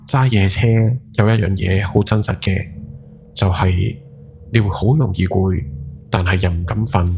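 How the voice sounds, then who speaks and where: A man's voice: sits low, fairly even intonation, unhurried, gravelly, a little mellow, slight background noise. A man playing a guilt-ridden night taxi driver in his forties, telling a horror story in Hong Kong Cantonese with brooding, dramatic emphasis. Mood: weary, brooding. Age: 40s. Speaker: ghost story podcast narrator. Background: background music.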